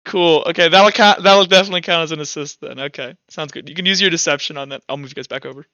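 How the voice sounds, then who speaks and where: A man's voice: fairly high pitch, quick pace, sharp tone, no echo, muffled recording. A man acting as game master, casually narrating a tabletop game and talking through rules and dice rolls on a headset.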